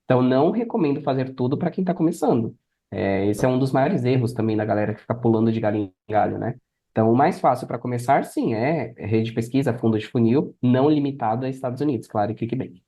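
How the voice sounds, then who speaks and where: A Brazilian man talks as a guest on an interview podcast. His voice sits at a middle pitch with a relaxed, mellow tone. He explains in a conversational, mentoring way. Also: quick pace.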